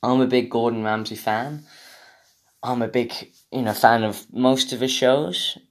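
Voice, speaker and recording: The young British man you would hear on a homemade solo podcast, swearing and rambling in mock outrage at an everyday pitch. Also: fairly even intonation, unhurried. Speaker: podcast host. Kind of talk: casual comedic rant.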